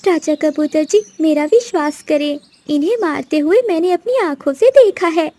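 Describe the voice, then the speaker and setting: A woman's voice: high-pitched, animated, normal speed, warm tone, no echo. A woman voicing a scheming, vengeful female bird with an accusing, dramatic manner in a moral-story cartoon. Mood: accusing, alarmed. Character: vengeful, scheming female bird plotting against wicked crows.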